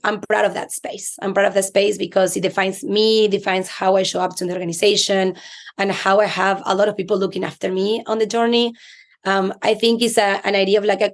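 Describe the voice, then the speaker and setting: A woman from Argentina with an Argentine Spanish accent, a native Spanish speaker, talking earnestly and reflectively as an interview guest, with frequent restarts. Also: medium pitch. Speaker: chief people officer, guest. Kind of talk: interview conversation.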